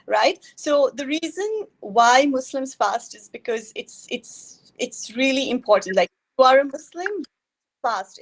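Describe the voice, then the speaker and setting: A woman's voice: fairly high pitch, rough recording. A woman from India, a guest in a casual interview over a video call, talking hesitantly with many fillers.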